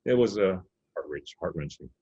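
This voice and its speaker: A man with an extremely deep voice speaks haltingly, stopping and restarting his thoughts. His voice is husky and breathy. The call audio sounds dull and lo-fi. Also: expressive, warm tone. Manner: hesitant, many restarts and fillers. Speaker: panelist. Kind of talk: halting panel discussion. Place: video call.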